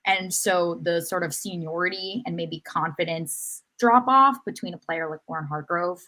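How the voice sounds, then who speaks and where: A woman on a podcast panel offering casual sports analysis in a measured, reflective way. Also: on the low side, low-quality recording.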